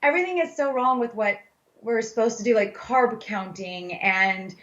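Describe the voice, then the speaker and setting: A woman's voice: a little high, normal speed, a bit bright. A middle-aged woman answering interview questions conversationally as a dietitian guest, stressing points emphatically with repeated phrases. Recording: roomy, slightly dull sound.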